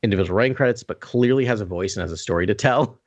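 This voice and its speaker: A man's voice: fairly low pitch, rapid-fire, dry recording. A man on a podcast panel in a casual review discussion, earnest and rambling as he thinks aloud.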